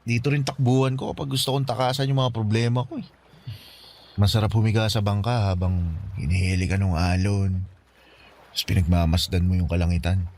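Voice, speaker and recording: A male actor playing a guilt-ridden, humble young man in a conversational radio drama, speaking in a hesitant, halting way. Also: fairly low pitch, fairly even intonation, a little mellow, natural voice. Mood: reflective.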